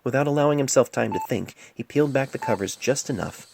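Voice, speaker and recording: A woman's voice: rumbling low, fast talker, gravelly, background noise. A woman narrating a scripted fan fiction story as an amateur reader, recorded on a cell phone microphone.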